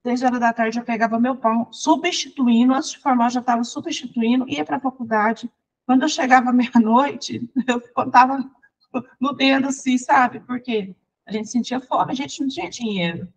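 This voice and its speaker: A woman's voice: fairly high pitch, normal speed, rough recording. Chatty Brazilian woman telling her story. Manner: lively, chatty, restarts and laughs while recounting. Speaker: interview guest, songwriter and teacher. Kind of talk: personal storytelling in conversation.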